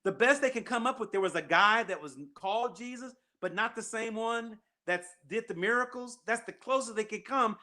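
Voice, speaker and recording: Male call-in host, high ringing voice, emphatic and repetitive. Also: normal speed, few pauses, sharp tone. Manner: emphatic, repeats key points. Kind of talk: casual call-in chat.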